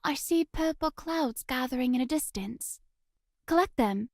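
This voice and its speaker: A woman playing a solemn, mysterious young witch girl in a visual novel, speaking in formal, measured phrases. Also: high voice, lively intonation, no echo, clean studio sound, full character voice. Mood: ominous calm. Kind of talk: visual novel character acting.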